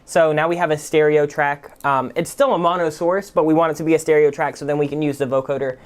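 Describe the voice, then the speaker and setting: A man giving a casual, conversational software tutorial as the host. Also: fairly high pitch, little pitch movement, fast talker, smooth. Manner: informal, conversational. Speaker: music production tutorial host. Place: indoors at a computer.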